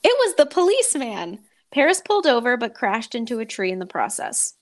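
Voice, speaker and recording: A woman's voice: on the high side, sing-song, a little fast. A woman podcast host, talking and reading a story aloud in a dramatic way.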